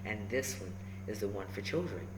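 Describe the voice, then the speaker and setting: A woman reading a children's book aloud, emphatic and instructive, pausing between short phrases and adding encouraging asides. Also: rumbling low, lively intonation. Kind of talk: animated storybook read-aloud with encouraging asides. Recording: echoey room with faint background noise.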